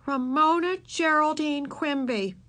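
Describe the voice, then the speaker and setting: Woman as a bossy, scolding big sister, raised pitch. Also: expressive, quick pace, a bit bright. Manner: stern, scolding. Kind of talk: children's storybook character voice.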